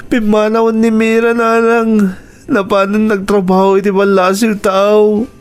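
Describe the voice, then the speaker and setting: A man's voice: high-pitched, flat delivery, a little slow, smooth. A man acting a remorseful husband whose wife has left him, in an Ilocano radio drama with an Ilocano accent. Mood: sorrowful. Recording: radio drama with music underneath.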